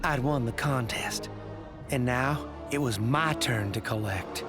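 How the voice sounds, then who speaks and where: A man voices an old farmer turned killer for a video game. He has a rural Southern US accent and a folksy, threatening drawl at a middling pitch. Music is loud behind him. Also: unhurried, natural voice. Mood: bitter, grimly satisfied. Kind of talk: dramatic scripted first-person video game narration.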